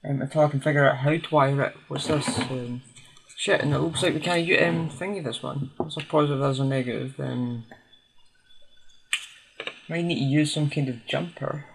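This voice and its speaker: Male hobbyist restorer, fry-laden voice, hesitant workbench narration; rough, noisy home recording. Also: fairly high pitch, steady tone, normal speed. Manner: hesitant, thinking aloud while tinkering. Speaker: hobbyist restorer hosting a video. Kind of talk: casual unscripted workbench commentary.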